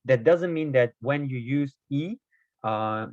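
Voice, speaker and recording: A man whose first language is not Korean, explaining Korean grammar in a casual video lesson, hesitant with many fillers and self-corrections, his voice a little hoarse. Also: medium pitch, unhurried, darkish tone, no room sound. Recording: dull, dry lo-fi recording.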